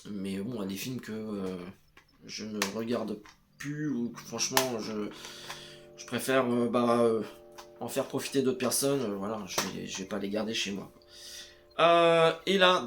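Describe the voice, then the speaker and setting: A man's voice: mid-range voice, fairly bright tone, a little hiss or hum. A man talking casually to camera about his home cinema purchases, hesitating often and speaking straight to the viewer. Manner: hesitant, full of "euh" fillers, addresses viewer as "tu". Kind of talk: casual unscripted chat to camera.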